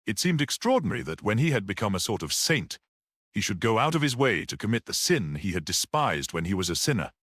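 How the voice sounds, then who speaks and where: A man voicing a gentle, shrewd priest detective in a story, speaking simply and earnestly with quiet certainty. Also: lowish voice, very animated, fairly quick, no room sound, clean studio sound. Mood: reflective.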